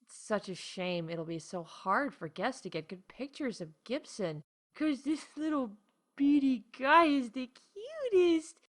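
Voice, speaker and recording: A woman playing an upbeat theme park announcer in space, reading scripted comedic announcements in a deadpan corporate manner with emphatic asides. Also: a little high, measured pace. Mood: cooing.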